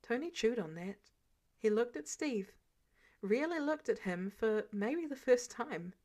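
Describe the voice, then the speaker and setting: A woman reading fiction aloud as a narrator, in an expressive scripted storytelling style. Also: a little high, unhurried.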